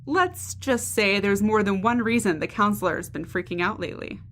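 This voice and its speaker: A woman's voice: medium pitch, animated, normal speed, a bit bright, lightly acted. A woman playing a brash, bossy, secretive saboteur in an audio drama, with a clipped, smug delivery over background noise. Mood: smug, sly.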